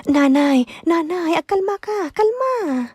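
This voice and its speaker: An actress with an Ilocano accent playing a meek, anxious young wife in a radio drama, hesitant and placating, with stammers. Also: female voice, very high-pitched, lively intonation, slow, darkish tone, dry recording, heavily acted. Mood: nervous, placating. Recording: dry studio with background music.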